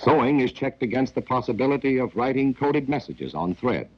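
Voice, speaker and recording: A man's voice: mid-range voice, big pitch swings, fairly quick, husky. An American man acting as a stern, sardonic narrator of a scripted 1940s training film, clipped and dramatic, addressing a captured agent. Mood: methodical, wry. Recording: dull, muffled 1940s film soundtrack.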